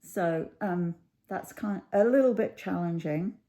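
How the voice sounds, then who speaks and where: A middle-aged woman with a croaky voice, chatting casually to camera at home, rambling with many ums and pauses. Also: on the low side, dark tone. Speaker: cross stitch YouTuber.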